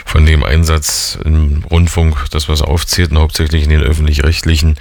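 Radio host, man in his forties, very low gravelly voice, dry close sound, chatty and teasing. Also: little pitch movement, normal speed, a little mellow, no room sound. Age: 40s. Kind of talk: casual radio interview.

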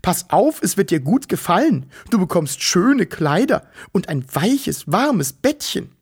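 A man reading a story aloud, voicing a silly, doting middle-aged woman who coos and fusses in a high, sing-song voice. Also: sing-song, a little slow, breathy edge, heavily acted. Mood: cooing. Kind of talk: audiobook character voice.

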